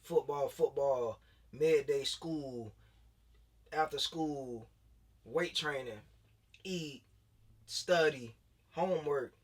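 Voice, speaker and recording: A man's voice: on the high side, very slow, pauses often, recorded from a distance. A man in his late twenties, a former football player turned coach, telling his story in loose casual talk, full of fillers and restarts. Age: late 20s.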